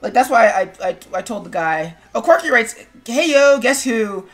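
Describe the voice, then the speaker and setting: A woman's voice: fairly high pitch, lively intonation, normal speed, faint music behind. An American woman streaming casual, opinionated chat in a sarcastic, blunt manner. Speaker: streamer, show host.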